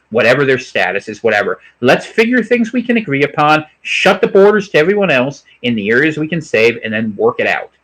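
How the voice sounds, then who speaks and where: An American man riffs through an unscripted livestream, answering chat and wandering between points. His mid-pitched voice swings widely, shooting upward whenever he hammers home an opinion. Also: poor audio. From United States. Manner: animated, opinionated, digressive, pitch jumps up sharply on emphatic points. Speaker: livestream host reading chat.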